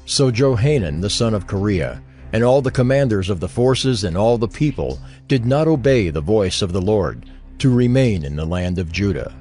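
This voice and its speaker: Low-voiced male scripture narrator over music. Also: very animated, warm tone. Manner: measured, formal storytelling. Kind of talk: scripted narration of a dramatized audio Bible.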